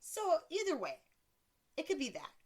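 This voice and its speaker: Woman, thirties, deeper voice; blunt, crude solo podcast host who mocks herself, sits a little high in pitch. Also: huge pitch swings, moderate pace. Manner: blunt, crude, self-mocking, laughs often. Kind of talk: casual solo podcast monologue.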